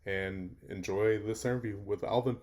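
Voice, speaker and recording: Host: man, fairly low voice, plain friendly delivery, short questions. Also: steady tone, moderate pace, a little mellow. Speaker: podcast host, interviewer. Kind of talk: channel intro and interview questions.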